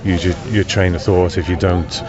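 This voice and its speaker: Male host, low voice, chatty and encouraging, casual interview, muffled outdoor recording. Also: fairly even intonation, moderate pace, crowd noise. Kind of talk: casual on-location interview chat. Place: outdoors in a park. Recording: dull sound with loud outdoor ambience.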